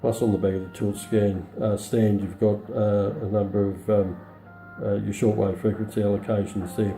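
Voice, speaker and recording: Middle-aged male demonstrator, low voice, matter-of-fact and halting, recorded indoors with radio audio behind him. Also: flat delivery, warm tone, roomy sound, faint music behind. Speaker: presenter of a radio review. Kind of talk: unscripted product demonstration. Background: shortwave radio broadcast playing.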